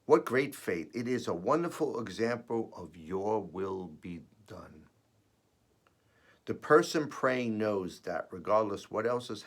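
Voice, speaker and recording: Raspy man, low gravelly voice, rough from feeling unwell, devotional host, earnest and reflective. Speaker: host of a weekly spiritual message. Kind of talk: devotional reading and personal reflection.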